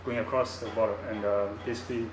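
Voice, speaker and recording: A man's voice: lowish voice, level tone, fast. A young student from Bhutan describes his program, hesitant and full of fillers. He is heard from a distance in an echoing room. A noticeable room hum runs behind him. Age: young adult. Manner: hesitant, many fillers and "you know". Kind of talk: casual chat. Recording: echoey room, far from the mic.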